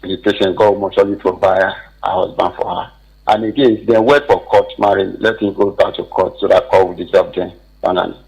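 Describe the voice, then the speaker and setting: A man's voice: low voice, little pitch movement, fairly quick, vocal fry, a little mellow, husky, dull audio. A man with a Nigerian accent giving his opinion off the cuff as a radio caller, insistent and repetitive.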